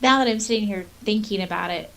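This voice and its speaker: A woman co-hosting a podcast, chatting casually and reading notes aloud in a giggly, animated way. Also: mid-range voice, quick pace, creaky. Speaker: podcast co-host.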